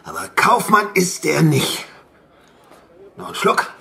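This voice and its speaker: An actor playing a drunken, wary young sailor in a radio drama, muttering and halting. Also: male voice, on the high side, wildly swooping pitch, creaky, bright, forceful tone, full character voice. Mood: wary, gossiping.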